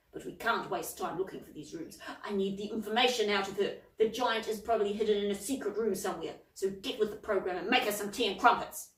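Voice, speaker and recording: A woman voicing a scheming, smooth-talking man who is bossy and sly, recorded in a roomy space at some distance from the mic. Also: high voice, voice pushed up, close to an everyday voice. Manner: sly, wheedling.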